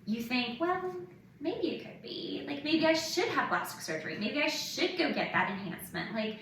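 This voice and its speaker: Echoey, bright-voiced woman chatting candidly. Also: high voice, sing-song, moderate pace. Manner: candid, emphatic, peppers speech with "like". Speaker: podcast host. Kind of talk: casual, confessional podcast chat. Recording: roomy echo, distant mic, faint noise.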